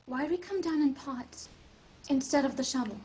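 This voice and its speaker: A woman acts out an earnest older girl in a science fiction drama, leading stranded kids. Her voice is notably high. She speaks as someone under strain, hesitant at times. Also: normal speed, darkish tone, a little hiss or hum, close to an everyday voice. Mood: uneasy. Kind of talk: table read of a science fiction script.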